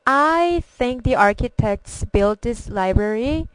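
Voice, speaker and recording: A woman's voice: on the high side, expressive, slow, clean tone, no room sound, low-quality recording. A young woman from Korea, a college student with a strong Korean accent whose first language is Korean, reading a personal introduction carefully. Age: young adult.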